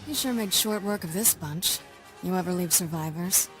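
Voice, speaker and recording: A woman voicing a cocky young Padawan, lowish and wry. She teases with dry quips. Clear game music plays behind. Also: moderate pace, close to an everyday voice. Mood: teasing. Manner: wry, teasing.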